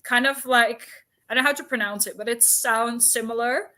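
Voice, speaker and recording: A woman with a somewhat high-pitched voice talks casually, as if co-hosting a discussion. She explains things in a talkative, informal way, dropping in asides as she goes. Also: fairly even intonation, normal speed, sharp tone. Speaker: book discussion co-host. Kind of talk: casual chat about books and history.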